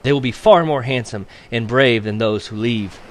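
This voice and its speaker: A man's voice: mid-range voice, normal speed, lightly acted. A man voicing a vain, teasing young island woman with a defiant, mocking manner in a storybook reading.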